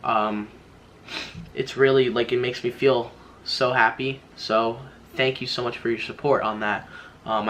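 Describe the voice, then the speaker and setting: A man's voice: mid-range voice, a bit bright, slight background noise. A young man chatting informally to viewers as a YouTuber giving a tutorial, rambling and repeating himself a little. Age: young adult. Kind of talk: casual tutorial chat to viewers.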